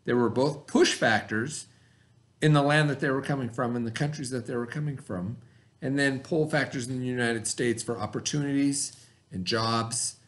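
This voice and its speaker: A man's voice: medium pitch, moderate pace. A man speaking as a teacher in a read-aloud lesson, explaining simply and posing questions that he answers himself.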